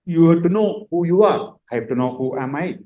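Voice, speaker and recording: A middle-aged man, a yoga and Vedanta teacher giving a spiritual lecture in unhurried, halting phrases with frequent pauses. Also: on the high side, lively intonation, dark tone, lo-fi sound.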